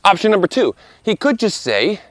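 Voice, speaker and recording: A man in his thirties, slightly hoarse from a lingering cough, giving an earnest, conversational commentary with playful asides as host of his video channel. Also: high voice, big pitch swings, normal speed, no room sound. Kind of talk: casual scripted commentary.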